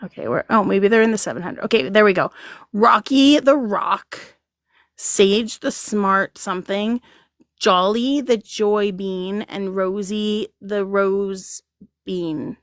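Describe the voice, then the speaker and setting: A woman's voice: medium pitch, slow pace, dry recording, clean studio sound. A woman hosting a casual livestream, rambling, laughing a lot and reading out viewers' comments. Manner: giggly, rambling, reads chat aloud. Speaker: livestream host.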